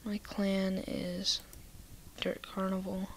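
A woman casually walking through her game inventory in a soft, half-whispered voice, a little nasal from a cold, recorded on a computer mic. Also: medium pitch, monotone, slow, a little hiss or hum. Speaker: gaming video maker.

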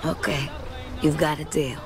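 A woman's voice: sits low, level tone, unhurried, crowd noise, background noise. A woman acting a tough, flirty, shrewd bartender and drug dealer in a video game, cool and sassy. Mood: businesslike.

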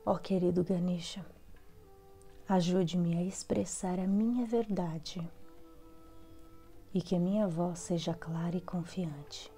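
Brazilian woman, guided meditation prayer, very slow delivery, soft and mellow, breathy phrase endings, measured pauses. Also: lowish voice, steady tone. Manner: measured, pausing between short phrases. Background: soft background music.

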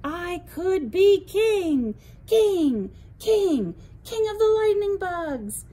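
A woman's voice: extremely high pitch, big pitch swings, drawn-out words, mellow, voice pushed up, full character voice. A woman voicing a young male lightning bug in a storybook, small, discouraged but determined. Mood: triumphant.

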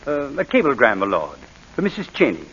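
Fast-talking suave crook. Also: male voice, medium pitch, huge pitch swings, a bit bright, slightly rough, full character voice. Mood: obsequious, guarded. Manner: suave, formal, calculating. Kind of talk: 1940s radio acting. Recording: noisy muffled vintage 1940s radio recording.

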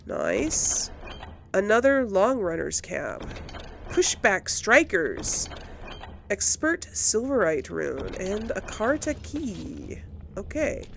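A woman's voice: mid-range voice, wildly swooping pitch, takes it slowly, vocal fry, fairly bright tone, slight background noise. A woman hosting a casual video game let's play, thinking aloud as she goes. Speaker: gameplay host. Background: video game sounds.

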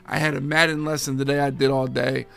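A man in his thirties, a gaming streamer talking casually and energetically to his viewers, his voice a bit hoarse from overuse. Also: medium pitch, fast talker. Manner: energetic, slangy, addresses viewers as boys and guys. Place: home game room with framed pictures.

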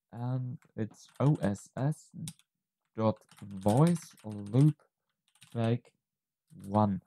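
A man presenting an unscripted software tutorial, explaining step by step in a halting, hesitant way. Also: mid-range voice.

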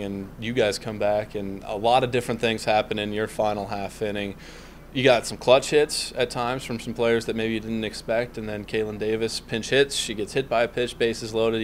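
Young man interviewer, flat low voice. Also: fairly quick, a little hiss or hum. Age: young adult. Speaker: sports network interviewer. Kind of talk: scripted sports interview questions. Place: indoor lounge with large windows. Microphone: handheld mic.

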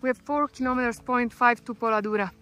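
A woman vlogger with a fairly high voice talks casually on a mountain trail. She is recorded on a camera outdoors, with some music underneath. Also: fast, fairly bright tone. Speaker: travel vlogger, hiker. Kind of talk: casual chat while hiking.

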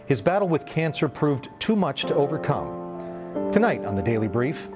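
A man's voice: on the high side, sing-song, normal speed, music in the background. A middle-aged man presenting scripted news as an anchor in a television studio. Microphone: lapel.

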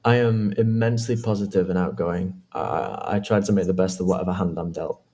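A man's voice: low voice, fairly even intonation, a little fast, dark tone. A man playing a nervous, friendly young job applicant and victim in an analog horror film, with a hesitant, stammering delivery. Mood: chatty, upbeat.

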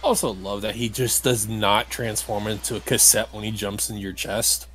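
A man's voice: fairly low pitch, fairly even intonation, normal speed, fairly bright tone, a little hiss or hum. A man giving casual game commentary as he plays, grumbling and critical with some mild swearing. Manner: grumbling, critical, mildly profane. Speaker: let's play host playing the game.

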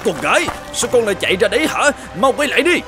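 A man's voice: very high-pitched, sing-song, fast talker, music in the background. An actor playing a hot-tempered, domineering middle-aged innkeeper and vengeful father in a cartoon folk tale, blustering and threatening. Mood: desperate, anguished.

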